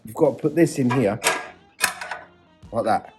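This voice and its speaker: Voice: medium pitch, measured pace. A speaker from Wales with a Welsh accent, casually explaining jobs in a motorcycle workshop with a teasing manner. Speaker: workshop mechanic and co-presenter. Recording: guitar music behind the voice.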